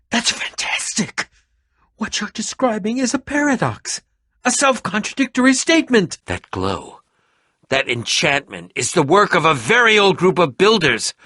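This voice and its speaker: Excitable, high-pitched eccentric adventurer. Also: male voice, no room sound, clean studio sound, heavily acted. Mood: excited. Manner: theatrical, fussy, dramatic emphasis with sudden swoops. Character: eccentric, excitable male adventurer and potion maker. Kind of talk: animated video game character acting.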